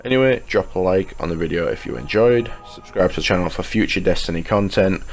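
A man's voice: low-pitched, fairly quick. A man giving a casual, chatty video game guide as a YouTube host, sounding slightly congested with a cold. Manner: informal, chatty, addresses viewers as guys. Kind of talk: casual video game guide commentary over gameplay menus. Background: music.

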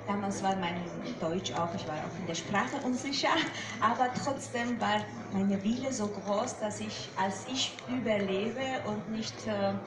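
A woman from Georgia, speaking German with a Georgian accent, answering slowly with many fillers as a guest poet on stage. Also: medium pitch, a crowd in the background. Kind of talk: reading and interview at a book fair. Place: large hall with screen, seated on stage. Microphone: far phone camera in audience. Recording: echoey hall, far off, noisy.

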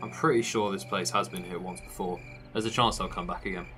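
A man's voice: deep voice, fairly even intonation, fairly quick, natural voice. A man playing an easygoing, helpful friend in a fan audio drama, casual and matey. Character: easygoing, helpful male friend of a time traveller. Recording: amateur recording with music behind.

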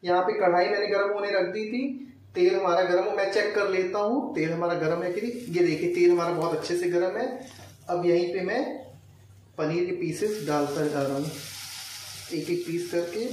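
A man's voice: high-pitched, fairly even intonation, moderate pace, darkish tone. A young man narrating an informal cooking tutorial step by step, mixing English words into his speech. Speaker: home cook, recipe host. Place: home kitchen, indoors. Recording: echoey, distant mic, faint kitchen noise.

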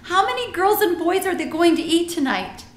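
A woman's voice: very high voice, expressive, moderate pace, echoey room, pitched above the performer's own voice. A woman voicing an earnest, indignant little girl in a children's storybook, emphatic and determined in the way she argues. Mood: distressed. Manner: emphatic, insistent, argumentative. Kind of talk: children's storybook character reading.

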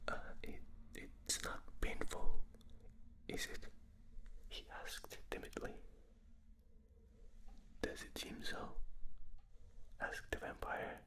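A man with a non-native English accent whispering a book aloud for binaural ASMR, reading with small slips and restarts, recorded in a dark room at night. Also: slow pace, pauses often. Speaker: reader. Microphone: binaural microphone.

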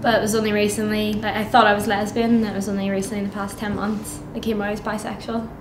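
A woman's voice: medium pitch, level tone, quick pace, noisy background. Hesitant young woman, echoey room. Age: 20s. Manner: hesitant, with frequent ums. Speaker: interviewee. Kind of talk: interview. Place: indoor youth centre room. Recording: echoey room with music underneath.

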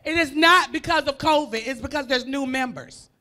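A performer on a church stage playing Miss DeWanda, a sassy older woman guest news anchor who gets flustered and fires back quick retorts. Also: very high voice, sharp tone, heavily acted. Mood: brisk. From New Jersey. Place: large church stage, indoors. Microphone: handheld mic.

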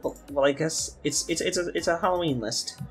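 A man's voice: on the high side, brisk. Young man chatting over music. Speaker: podcast co-host, game designer. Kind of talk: casual podcast chat. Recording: music behind the voice. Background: background music.

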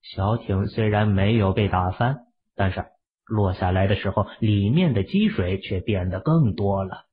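A man narrating an audio drama in a steady storytelling manner, with a husky, breathy voice. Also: on the low side, normal speed. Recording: muffled lo-fi audio.

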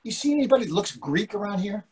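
A man's voice: sits high, big pitch swings, fast talker, a little mellow. Grumpy, exasperated older husband, acted by a man. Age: 60s. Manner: exasperated, argumentative. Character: grumpy, hungry middle-aged husband out at dinner. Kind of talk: readers theatre dialogue. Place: indoors on a video call.

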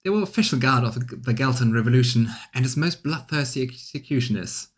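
A man's voice: medium pitch, animated, brisk, natural voice. A man voicing a bitter, defeated male assassin from a fantasy game, confessing his past resentfully.

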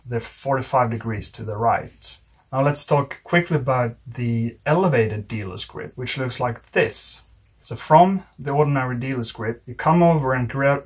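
A man with a slightly husky voice, giving a measured, step-by-step tutorial as an instructor demonstrating while he talks. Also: mid-range voice. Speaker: card magic instructor.

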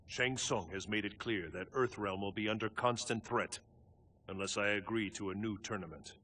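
An actor playing a grave, commanding thunder god guardian in a video game, speaking in formal, portentous phrases. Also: male voice, lowish voice, moderate pace, background noise, close to an everyday voice. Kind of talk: dramatic video game cutscene acting. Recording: background music under the dialogue.

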